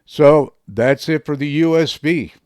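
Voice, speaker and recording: A man with a deep voice reviews a microphone in a loose, chatty way, full of fillers. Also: a little slow, a little mellow, dry recording, clean studio sound. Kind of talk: casual product review to camera. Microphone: studio microphone under test.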